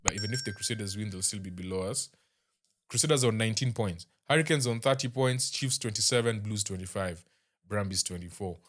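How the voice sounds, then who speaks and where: A man's voice: lowish voice, fairly bright tone. Chatty young Kenyan man. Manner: chatty, halting, with many fillers and restarts. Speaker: rugby show host. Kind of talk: casual match review.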